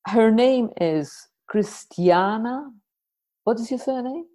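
A woman hosting a podcast, introducing and questioning in a conversational, reflective way with deliberate pauses. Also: a little high, dark tone. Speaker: podcast host.

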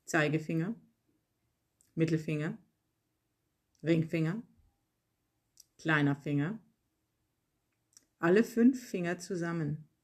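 A yoga nidra guide reading a scripted relaxation in calm, measured short phrases with pauses. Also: medium pitch. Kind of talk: slow guided relaxation meditation, read from a script.

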